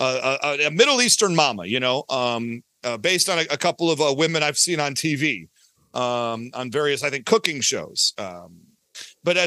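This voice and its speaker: A man's voice: on the high side, bright, forceful tone, dry recording. A middle-aged man chatting casually as a podcast guest, rambling and emphatic in an enthusiastic, gushing way. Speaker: podcast guest, audio drama creator. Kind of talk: casual, rambling interview chat.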